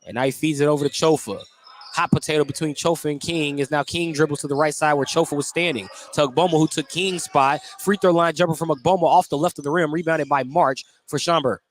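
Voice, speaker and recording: A man with a slightly high voice calls a basketball game as play-by-play announcer. His live commentary follows the action, with light gym noise and bouncing balls behind him. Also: fairly even intonation, fast. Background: basketball gym ambience, bouncing balls.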